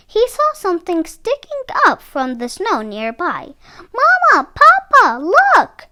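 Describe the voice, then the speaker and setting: A woman's voice: sits high, sharp tone. A woman narrating a children's picture book expressively, with dramatic phrasing. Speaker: read-aloud storyteller for children.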